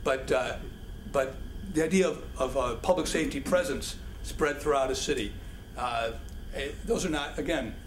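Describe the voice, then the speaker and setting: A man answering audience questions at a public policy forum, halting and full of restarts. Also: mid-range voice. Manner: halting, many restarts and fillers. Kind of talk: public Q&A talk. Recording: echoey hall with background noise.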